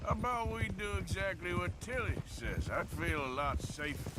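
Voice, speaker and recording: A man's voice: high-pitched, animated, moderate pace, very noisy. A man voicing a level-headed, sardonic Old West gunman with an American accent in a video game. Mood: wry, casual. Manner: dry, sardonic. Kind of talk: video game western acting.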